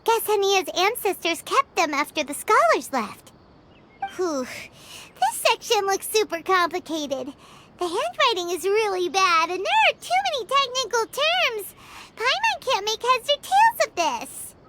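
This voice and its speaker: A woman voicing a small, excitable, childlike fairy companion in an anime game, squeaky and exclamatory. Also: unhurried, heavy background noise, exaggerated voice. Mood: determined, curious. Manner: speaks of self in third person, exclamatory. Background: music.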